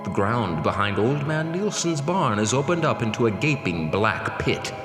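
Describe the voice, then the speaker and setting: A man playing an ominous, theatrical horror show host, narrating a scripted introduction with drawn-out words and dramatic pauses. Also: medium pitch, sing-song, lightly acted. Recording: echoey long reverb over music. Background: music.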